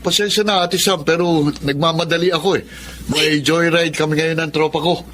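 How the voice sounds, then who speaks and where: A man's voice: a little high, fairly even intonation, moderate pace, a bit bright, a little hiss or hum, natural voice. A man with a Filipino accent acting an evasive, impatient young brother-in-law in a radio drama.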